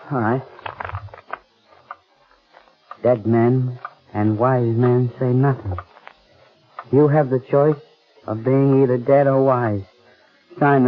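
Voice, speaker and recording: An actor playing a frightened murder witness with frayed nerves, overwrought and halting, in 1930s radio style. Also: male voice, medium pitch, fairly even intonation, faint music behind, lightly acted. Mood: shaken. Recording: muffled old 1930s radio recording.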